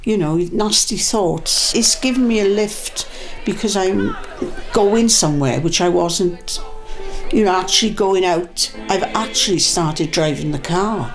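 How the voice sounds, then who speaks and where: Welsh woman, choir member, unhurried, faint music and crowd behind. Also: mid-range voice. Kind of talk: casual interview.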